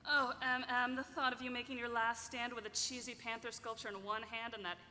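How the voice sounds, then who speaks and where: A woman's voice: fairly high pitch, level tone, fairly quick, bright, forceful tone. A young woman playing an emotional, melodramatic heroine at a live table read. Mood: pleading. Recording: echoey hall, faint room noise.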